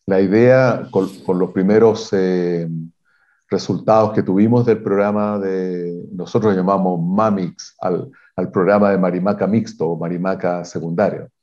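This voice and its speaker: Low mellow guy explaining drilling plans slowly, lots of ehs, muffled video call sound. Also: fairly even intonation. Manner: measured, technical, pauses with fillers and restarts. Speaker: mining company exploration executive. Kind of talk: interview answers on a video call.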